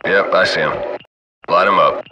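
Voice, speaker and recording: Deep-voiced male gunship crewman for shooter game: casual, cocky, slangy radio chatter, very loud cabin noise. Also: unhurried, distant mic, highs cut off. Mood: cool, focused. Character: laid-back, cocky adult male military gunship crewman.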